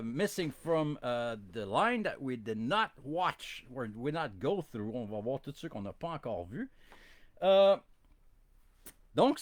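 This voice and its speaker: A man's voice: mid-range voice, no room sound. French-Canadian man chatting casually. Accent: Quebec French. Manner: rambling, chatty, self-deprecating. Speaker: podcast host. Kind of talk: casual bilingual live-stream chat.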